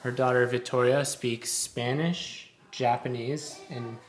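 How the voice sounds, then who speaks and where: A man's voice: mid-range voice, fairly even intonation, slow. A man interviewing a guest casually, with short prompts and teasing asides. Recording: echoey room.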